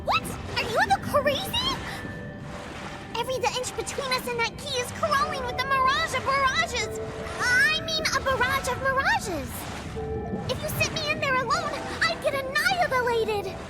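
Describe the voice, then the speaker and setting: A tiny magical game mascot with an extremely high, childlike voice. The creature is boastful and easily indignant, with swooping intonation and oddly placed words, over loud music. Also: female voice, expressive, moderate pace. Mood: exasperated. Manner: quirky syntax with misplaced "the", indignant. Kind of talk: video game cutscene acting. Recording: music behind the voice.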